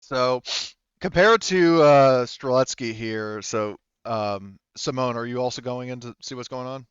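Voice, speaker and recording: A man improvising as game master of a tabletop roleplaying game, halting as he searches for words and swearing casually. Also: medium pitch, clear, resonant tone, dry recording, dull audio. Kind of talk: improvised narration of a tabletop roleplaying game, relaying characters' replies.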